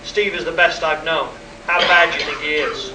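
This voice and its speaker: A man in a live radio play acting a skeptical military colleague, brisk, placating and exasperated. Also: on the high side, fairly even intonation, lightly acted. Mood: concerned, placating. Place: convention hall stage, live performance. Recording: echoey distant live hall recording, faint noise.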